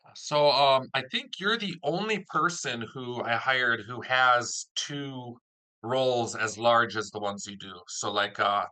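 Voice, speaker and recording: A man's voice: mid-range voice, unhurried, sharp tone, low-quality recording. A man hosting a casual interview, chatting as the producer of an audio drama.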